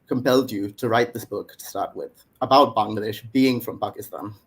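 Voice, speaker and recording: A man's voice: on the low side, normal speed, husky. A man from India interviewing a guest, putting his questions politely and tentatively.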